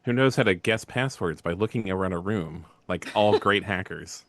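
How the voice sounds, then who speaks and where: A man on a comedy panel tossing in casual, deadpan quips. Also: deep voice, normal speed, no echo. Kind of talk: casual improvised comedy chat.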